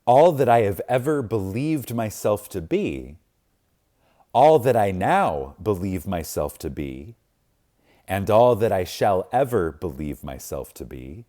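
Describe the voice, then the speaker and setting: Male reader for spiritual audiobook: low-pitched, very unhurried, reverent and solemn, weighty pauses and deliberate emphasis. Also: big pitch swings, mellow. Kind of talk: reverent, dramatic reading of spiritual text.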